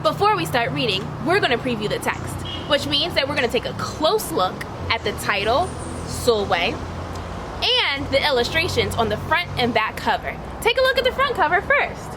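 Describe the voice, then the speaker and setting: A woman's voice: high-pitched, very animated, normal speed, noisy background. A woman reading a picture book aloud like a teacher, expressively and instructively, with questions for young listeners. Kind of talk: children's read-aloud, expressive storytelling.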